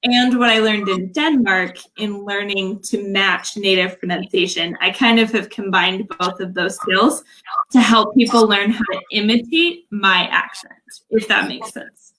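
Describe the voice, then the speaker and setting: A woman's voice: medium pitch, steady tone, a little slow, pushed and bright, rough recording. A young woman from Utah, a language coach, explaining her work earnestly in a casual livestream interview, often starting with "so" and "um". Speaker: English language coach, guest.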